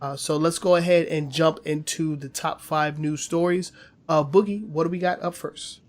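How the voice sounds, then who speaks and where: A man's voice: a little high, fairly even intonation, moderate pace. A man co-hosting a casual gaming news podcast, chatty with many fillers and slightly nasal from a cold. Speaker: podcast co-host.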